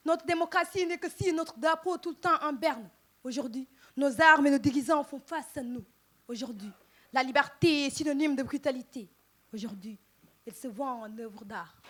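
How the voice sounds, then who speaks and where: High-voiced Senegalese woman declaiming slam poetry. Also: a bit bright. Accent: Senegalese French. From Casamance, southern Senegal. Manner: impassioned, emphatic, dramatic pauses. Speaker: poet reciting at a poetry contest.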